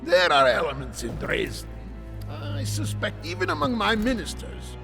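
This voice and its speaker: An actor playing a proud, embattled ruler in a game cutscene, formal and imperious. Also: male voice, sits high, huge pitch swings, moderate pace, creaky, heavily acted. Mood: grave, earnest. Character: embattled, commanding male monarch, earnest and proud. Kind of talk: dramatic game cutscene acting. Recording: long hall reverb with music.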